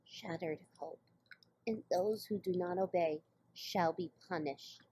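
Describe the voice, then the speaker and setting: A woman's voice: lowish voice, takes it slowly, mellow, poor audio. A woman voicing an earnest, knowledgeable young pony mare in a fan cartoon. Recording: faint noise, played-back web video.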